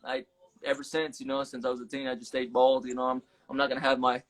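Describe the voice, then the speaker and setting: A man's voice: mid-range voice, monotone, fast, fairly bright tone. A man from Las Vegas, a guest rapper, talking casually in a live-stream interview and mixing Spanish words into laid-back street talk. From Las Vegas, USA. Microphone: phone on a live stream.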